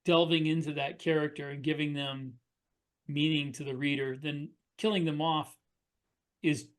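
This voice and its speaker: A man explaining and telling stories casually as a podcast co-host, halting at times as he works out his points. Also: fairly high pitch, level tone. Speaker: podcast co-host and fiction author. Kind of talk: casual storytelling and discussion.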